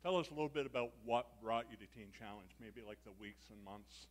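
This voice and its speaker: A man's voice: mid-range voice, fairly quick. A man interviewing a program student, asking short prompting questions.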